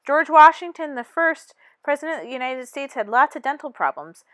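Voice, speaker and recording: High-voiced woman reading a children's book. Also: animated, a little fast, fairly bright tone, dry recording, clean studio sound. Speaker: storybook reader. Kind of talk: read-aloud of a children's picture book.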